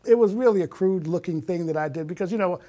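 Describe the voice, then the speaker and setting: A man's voice: high voice, fast, warm tone. A man in his sixties telling personal stories in conversation as an interview guest, acting out bits of remembered dialogue. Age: 60s.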